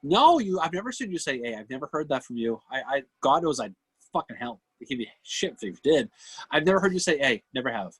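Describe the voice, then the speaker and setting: Husky New England man, animated delivery. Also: mid-range voice, a little fast, no echo. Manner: rambling, repeats phrases, swears casually. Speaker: podcast co-host. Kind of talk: casual livestream banter.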